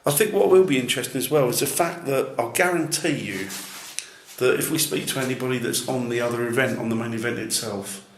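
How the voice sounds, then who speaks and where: Loud booming man in an echoey building. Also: brisk. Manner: musing, skeptical. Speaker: ghost-hunting YouTuber. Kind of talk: casual on-location chat. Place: large echoey building, a former jail, at night. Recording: echoey room with faint noise.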